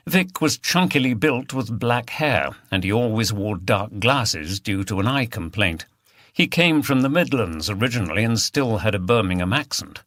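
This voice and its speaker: Bright-voiced male audiobook narrator. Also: on the low side, animated, moderate pace, no room sound, studio quality. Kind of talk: scripted mystery novel narration.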